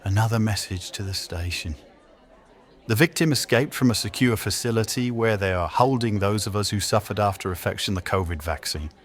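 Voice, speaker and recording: A man's voice: on the low side, a little fast, close to an everyday voice. An actor playing a dutiful, dryly sardonic police detective in an audio drama, terse in his delivery. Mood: weary. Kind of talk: naturalistic audio drama dialogue.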